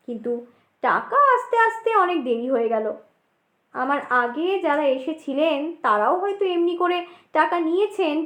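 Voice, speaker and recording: Very high-pitched, slow-paced woman storyteller. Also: a bit bright, reverberant. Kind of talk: dramatic scripted ghost-story narration.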